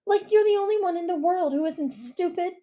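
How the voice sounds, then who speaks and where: A woman's voice: very high voice, a little fast, warm tone, voice pushed up. A woman voicing a fierce, righteous teenage girl who accuses a bully. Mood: accusing. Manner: accusing, intense.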